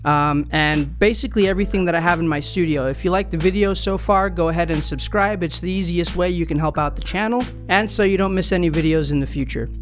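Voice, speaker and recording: A man's voice: sits high, fast, hardly any pauses. A man hosting his own music channel, casually explaining equipment settings step by step. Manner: relaxed, explains step by step with fillers. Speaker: music gear channel host. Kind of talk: casual gear tutorial.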